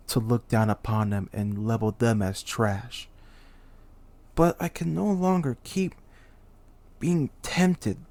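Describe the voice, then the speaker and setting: A man's voice: mid-range voice, a little mellow, natural voice. Man acting a haughty, exasperated demon king. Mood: wistful, haughty, then resolved, brooding. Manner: theatrical, exasperated, sighing, lofty speech slipping into slang. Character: humiliated demon king, once human, haughty but self-pitying. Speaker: voice actor. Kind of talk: dramatic character monologue for a voice reel.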